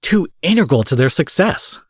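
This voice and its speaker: A man acting out a cocky, foul-mouthed young supervillain in an audio roleplay, husky, mocking and possessive, taunting his captive with drawled pet names. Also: on the high side, very animated, moderate pace, no echo, studio quality. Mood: incredulous, intense. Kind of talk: dramatic roleplay monologue addressed to the listener.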